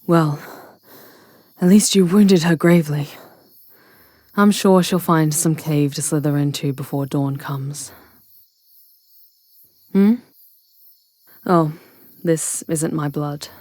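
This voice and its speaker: Woman acting a low-voiced, plain-spoken witch leader. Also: fairly even intonation, slow pace, long pauses, a little mellow, no room sound. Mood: brisk concern. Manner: plain-spoken, conversational, explanatory. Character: matter-of-fact witch leader, ally of a vampire hunter. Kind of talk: ASMR roleplay acting.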